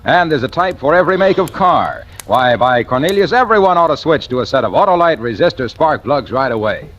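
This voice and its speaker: A man's voice: mid-range voice, very animated, a little fast, a bit bright. A man announcing and selling in scripted 1940s radio style, folksy and emphatic. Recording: dull vintage radio, music behind.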